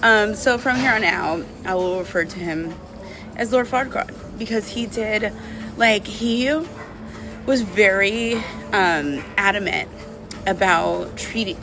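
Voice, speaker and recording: A woman's voice: mid-range voice, a little slow, music in the background. A woman talking casually and confessionally to camera as a vlogger, candid and rambling, with lots of "like" and "you know". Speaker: vlogger telling a personal breakup story.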